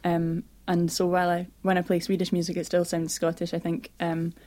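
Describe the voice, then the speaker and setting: A woman's voice: fairly low pitch, monotone, a little fast, a little mellow. A woman musician answering interview questions in a thoughtful, hesitant way. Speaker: musician guest.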